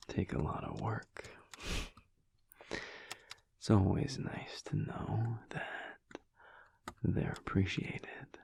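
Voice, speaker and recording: Man playing a very slow, whispering werewolf husband. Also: exaggerated voice. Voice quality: breathy, gravelly low murmur. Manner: slow, hushed, wry drawl with pauses. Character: teasing, affectionate alpha werewolf husband working late. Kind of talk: intimate audio roleplay, whispered and murmured.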